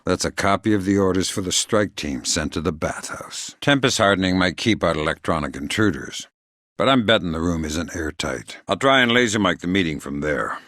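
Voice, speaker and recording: An actor playing a hardened, sardonic middle-aged covert operative in a video game, gruff and terse, with dry deadpan delivery. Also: male voice, low-pitched, fairly quick, no room sound. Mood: businesslike, dry. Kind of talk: video game character dialogue.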